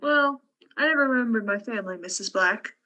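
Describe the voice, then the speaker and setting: A woman's voice: a little high, expressive, brisk, sharp tone. A woman playing a reserved, detached scientist and doctor in a radio play. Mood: guarded. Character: reserved, detached female scientist, war survivor.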